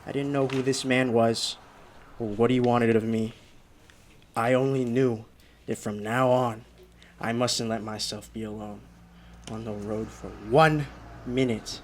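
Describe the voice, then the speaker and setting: A man's voice: medium pitch, fairly even intonation, a little slow, long pauses, natural voice. An actor playing a haunted, desperate young male driver in a radio drama, with an intense delivery that stammers under agitation. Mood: shaken, brooding. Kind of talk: radio drama acting with first-person narration. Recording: clearly noisy radio play recording.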